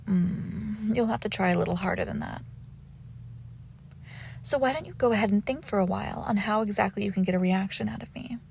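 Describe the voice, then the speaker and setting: Woman acting a teasing, haughty young vampire, slightly creaky. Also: medium pitch, a little fast, a little mellow, a little hiss or hum, natural voice. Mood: playful, smug. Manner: teasing, coy, entitled. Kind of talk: intimate roleplay audio acting.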